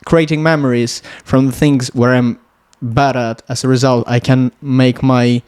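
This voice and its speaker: Latvian man with a Russian accent, halting. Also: medium pitch, level tone, a little mellow, smooth. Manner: halting, searching for words, many restarts. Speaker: podcast guest, electronics engineer. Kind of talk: casual podcast conversation.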